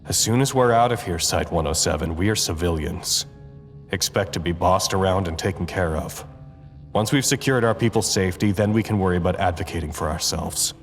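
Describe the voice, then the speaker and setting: Low-voiced grave male site leader. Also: a little fast, big-room sound, soft background music. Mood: grave, formal. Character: grave, weary male leader of a trapped facility. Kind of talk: scripted audio drama acting.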